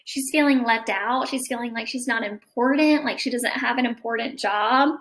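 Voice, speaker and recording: A woman's voice: on the high side, normal speed, fairly bright tone. An American woman, around her thirties, reading a picture book aloud to children and chatting about it as a teacher would. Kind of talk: expressive picture-book read-aloud with comments and questions to children. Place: living room at home, indoors. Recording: roomy indoor home recording.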